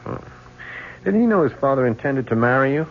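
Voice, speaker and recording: A man playing a dry, probing police lieutenant with measured questioning in a 1970s radio drama. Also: medium pitch, very animated. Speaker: actor. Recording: dull 1970s radio, faint noise.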